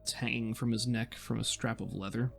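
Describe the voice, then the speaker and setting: A man's voice: mid-range voice, steady tone, a little fast, vocal fry, faint music behind. A man working as game master, narrating a tabletop game in a descriptive, conversational way as he thinks aloud.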